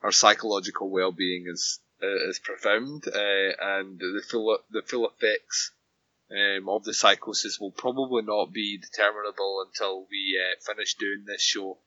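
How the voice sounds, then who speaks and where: A slightly hoarse man co-hosting a podcast, chatting casually about TV, rambling and often laughing, recorded on a home microphone. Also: on the low side, little pitch movement, normal speed, bright, forceful tone, dry recording, highs cut off. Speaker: podcast co-host. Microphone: better home microphone.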